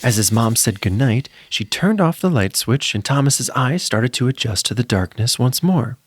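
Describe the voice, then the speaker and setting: A man's voice: mid-range voice, slightly rough, no echo, studio quality. A man narrating a spooky children's story from a script in a dramatic, suspenseful way.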